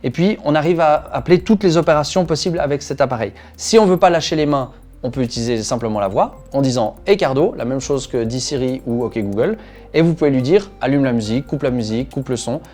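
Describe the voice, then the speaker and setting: A man's voice: mid-range voice, fast, darkish tone. A man co-hosting a motorcycle channel, explaining a product in a casual, enthusiastic way. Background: background music.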